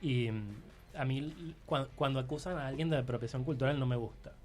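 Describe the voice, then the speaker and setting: A male guest contributor with a Venezuelan accent chats casually in a mid-pitched voice. He hesitates and repeats words as he builds a point. Music plays faintly in the background. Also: brisk, a little mellow. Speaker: guest contributor on identity and multiculturalism. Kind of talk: casual chat.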